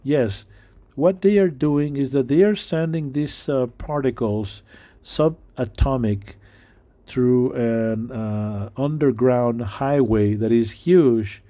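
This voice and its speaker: A middle-aged man with a non-native English accent preaching a sermon, halting and full of fillers. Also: mid-range voice, warm tone. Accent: non-native English speaker. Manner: halting, many fillers and restarts.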